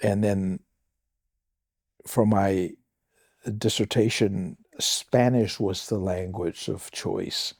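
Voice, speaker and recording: A Samoan man, a pastor, answering listener questions on a podcast in a slow, measured way with thoughtful pauses and a Samoan accent. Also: deep voice, fairly even intonation, darkish tone, no echo, clean studio sound. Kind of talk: reflective podcast talk.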